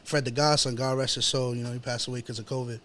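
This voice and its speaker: A man's voice: mid-range voice, steady tone, quick pace, a bit bright. Casual, slangy New York man. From Chelsea, Manhattan, New York, USA. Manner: slangy, frequent "you know". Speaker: rapper, interview guest. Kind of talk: casual conversational interview.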